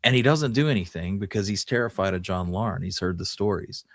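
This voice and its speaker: A man with a fairly low voice, recorded close and dry, co-hosts a history show. He tells stories informally and conversationally, as if chatting with a friend. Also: a little fast, no room sound. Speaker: podcast co-host. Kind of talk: casual conversational history storytelling.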